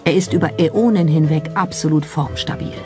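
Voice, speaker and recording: A narrator reading a scripted documentary in a measured storytelling style. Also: mid-range voice, over music.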